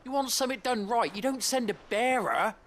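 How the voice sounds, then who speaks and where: A man's voice: very high-pitched, animated, brisk, fairly bright tone, a little hiss or hum. A man playing an angry, impatient British master in a video game, curt and scornful. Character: angry, impatient man hunting his runaway slave.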